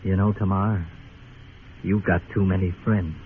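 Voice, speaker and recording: An actor playing a jealous, hard, fearless crooked croupier in a radio western, curt and sardonic. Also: male voice, low-pitched, little pitch movement, unhurried. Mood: cold, resolved. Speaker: supporting actor. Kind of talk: old-time radio western acting. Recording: tinny, noisy old radio recording.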